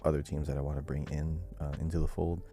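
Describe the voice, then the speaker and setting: A man with a very low, extremely dark and soft-edged voice co-hosts a gaming podcast. His talk is casual and conversational. Music runs behind him. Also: flat delivery, quick pace. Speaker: podcast host.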